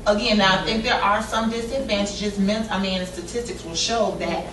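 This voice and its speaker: A woman's voice: medium pitch, fairly even intonation, moderate pace, crowd noise. A woman reasoning aloud earnestly in a casual group discussion, checking in with her listeners. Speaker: panelist in a women's discussion circle. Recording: echoey room picked up from a distance, clear background noise.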